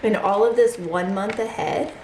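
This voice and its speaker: A congested woman talks casually through her weekly budget, reading out numbers at an unhurried pace. Her voice sits at a middle pitch and rings a little in an echoey room, with a faint hum of noise behind her. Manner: chatty, reads out figures slowly. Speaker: budgeting channel host.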